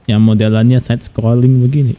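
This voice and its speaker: A man's voice: medium pitch, flat delivery, fairly quick, mellow. A man with an Indonesian accent giving casual live commentary as he plays a video game. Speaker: game streamer.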